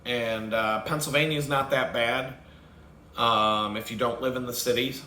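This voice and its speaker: A man's voice: medium pitch, steady tone, measured pace, sharp tone. Man ranting bluntly in a roomy space. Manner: blunt, swears heavily, laughs at his own jokes. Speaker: vlogger host. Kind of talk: profane rambling rant. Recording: roomy with faint background noise.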